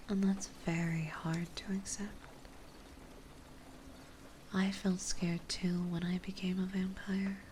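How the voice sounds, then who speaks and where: A woman playing a gentle yet possessive, dominant vampire mistress in an ASMR roleplay, speaking softly and soothingly, often in a breathy whisper. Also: on the low side, a little hiss or hum. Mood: tender, soothing, then somber, hushed. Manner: soothing, coaxing, slow and deliberate.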